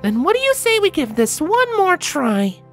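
A performer voicing excitable female cartoon ponies in an animated, girlish manner for a fan fiction reading. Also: very high-pitched, moderate pace, faint music behind, pitched above the performer's own voice, heavily acted. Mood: encouraging. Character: excitable young cartoon pony fillies and mares.

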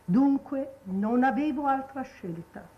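An actress playing a cold, commanding old marchioness in a 1950s Italian television period drama, with a haughty, measured delivery. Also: female voice, high-pitched, expressive. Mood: grave, measured. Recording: dull 1950s TV soundtrack.

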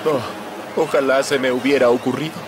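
A man's voice: medium pitch, steady tone, moderate pace, background noise, natural voice. A man voicing a worried, protective villager, a fatherly figure, in a Latin American Spanish cartoon dub. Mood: resigned. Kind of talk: animated cartoon dub acting. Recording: loud music behind.

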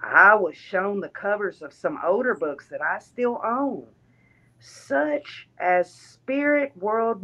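A woman reading a written first-person account aloud in a measured way, occasionally stumbling over words. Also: mid-range voice, highs cut off.

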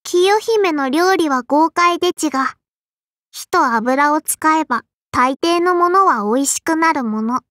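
A woman's voice: high voice, expressive, a little slow, a bit bright, dry recording, studio quality. A woman voicing a small, strict and prim sparrow-spirit girl in an anime game, with a childlike lisp and polite but scolding speech. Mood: prim, critical. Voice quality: childlike, lisping. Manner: polite but scolding, quirky verbal tic. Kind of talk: anime game character voice lines.

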